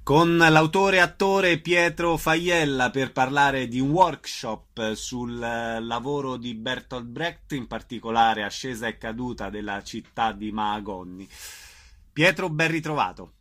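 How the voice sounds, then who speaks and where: A man's voice: medium pitch, measured pace, a bit bright. A man hosting a conversational radio interview, putting questions to a guest.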